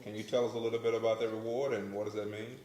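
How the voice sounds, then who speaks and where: A man hosting a casual church interview, enthusiastic and quick with "wow" and "awesome". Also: fairly low pitch, little pitch movement, darkish tone, reverberant. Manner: enthusiastic, says wow often. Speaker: host.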